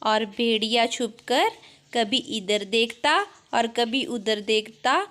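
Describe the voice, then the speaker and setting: A woman's voice: on the high side, slow pace, a bit bright. A woman narrating a scripted children's story as the storyteller.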